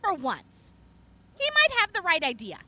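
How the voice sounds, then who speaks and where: A woman's voice: very high-pitched, wildly swooping pitch, moderate pace, sharp tone, exaggerated voice. A woman playing a brash, sarcastic young wastelander in an audio drama, scornful and drawling. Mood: impatient, eager.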